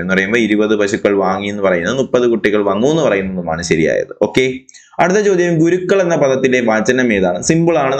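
A male exam tutor, talking through answer keys in a chatty, explanatory way with rhetorical questions. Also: mid-range voice. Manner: mixes English answer phrases into explanations. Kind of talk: answer key walkthrough.

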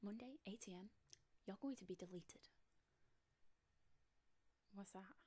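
A young woman playing a domineering, sarcastic high-school queen bee in a soft-spoken, often whispered ASMR roleplay, close on the mic. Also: unhurried, husky. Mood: scornful, angry. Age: young adult.

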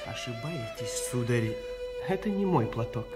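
Actor as a refined, touchy musketeer over music. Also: male voice, mid-range voice, animated, unhurried, a little mellow, lightly acted. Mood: haughty, restrained. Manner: courteous, precise, haughty. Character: refined musketeer, outwardly meek but quick to take offence. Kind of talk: radio play acting.

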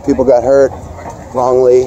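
A man narrating casually as he walks city streets, filming on his phone, with halting, rambling asides. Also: mid-range voice, completely flat delivery, mellow, highs cut off. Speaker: street videographer. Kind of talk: casual walking commentary. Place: city sidewalk outdoors. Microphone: handheld phone. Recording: wind and street traffic noise. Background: traffic and wind.

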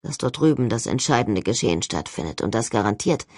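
A narrator voicing an argumentative, sceptical young male ghost hunter who pushes back with forceful sarcasm in an audiobook. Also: mid-range voice, sing-song, brisk, no echo, studio quality, pitched below the performer's own voice. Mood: indignant.

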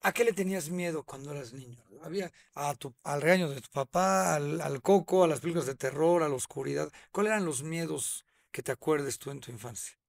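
Male interviewer, middle-aged, brisk and higher-pitched, rapid strings of questions. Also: creaky. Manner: fires off several questions in a row. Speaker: host. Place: small studio set. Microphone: studio mic on boom arm.

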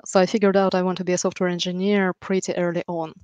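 Low-pitched woman with a Ukrainian accent. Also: little pitch movement, quick pace, darkish tone, dry recording. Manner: dry understated humour. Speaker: podcast guest, software engineer. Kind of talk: measured interview storytelling. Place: room at home, video call. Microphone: headset with boom mic.

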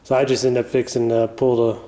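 A man's voice: mid-range voice, quick pace. A man hosting a casual repair vlog, talking loosely with lots of fillers as he works on parts in a garage, filming on a phone. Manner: mumbly, relaxed, trailing off with fillers. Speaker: channel host. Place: garage, working on a floor bench.

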